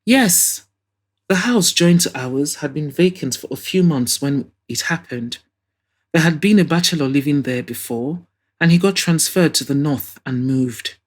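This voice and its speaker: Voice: mid-range voice, expressive, a little slow. Woman acting as elderly father, drowsy, weary, ailing, audio drama.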